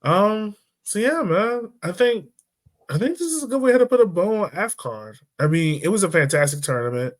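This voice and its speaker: A man's voice: high-pitched, normal speed. A man hosting a casual soccer podcast, talking loosely with plenty of fillers. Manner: relaxed, loose, lots of fillers like "um" and "like". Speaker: podcast host.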